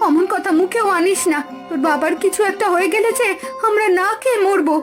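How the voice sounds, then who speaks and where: A poor, worried village mother from a cartoon folktale, played by a woman. Her very high voice is fretful and emphatic, with music clearly behind it. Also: fairly quick.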